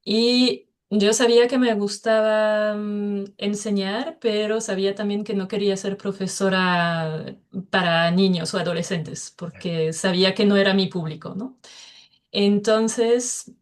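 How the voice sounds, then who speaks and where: French woman speaking with hesitant pauses. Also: medium pitch, fairly even intonation. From France. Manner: hesitant, many filler sounds between phrases. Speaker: guest Spanish teacher. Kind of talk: casual interview.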